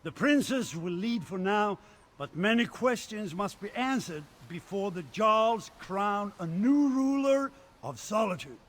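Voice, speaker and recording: An actor playing an earnest, proud eastern Nord leader who speaks in a reflective way in a video game cutscene. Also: male voice, sits high, expressive, measured pace, a bit bright, full character voice.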